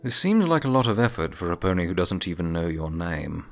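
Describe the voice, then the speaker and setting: A man's voice: low-pitched, sing-song, fairly quick, creaky, soft background music, full character voice. A man voicing a skeptical young male pony who teases his friend in a wry, doubtful way in an audio story. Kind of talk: audio story character dialogue.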